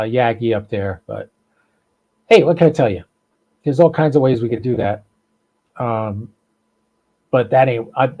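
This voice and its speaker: A man's voice: medium pitch, warm tone, slightly rough, low-quality recording. An American man hosting a casual, unscripted livestream, talking in a folksy, rambling, informal way. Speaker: podcast and livestream host.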